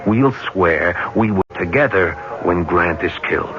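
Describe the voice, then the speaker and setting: A man's voice: sits low, unhurried. A man acting a cold, persuasive war veteran plotting revenge in an old-time radio drama, insistent and hard-edged. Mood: pressing, bitter. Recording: muffled vintage radio recording, music behind.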